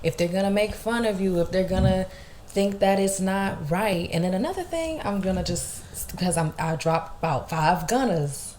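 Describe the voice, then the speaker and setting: A young woman from Georgia with a Southern accent and a deep, soulful voice, co-hosting a podcast in an earnest, motivational way. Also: animated, moderate pace, a little mellow, slight background noise. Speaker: podcast co-host. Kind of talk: casual chat.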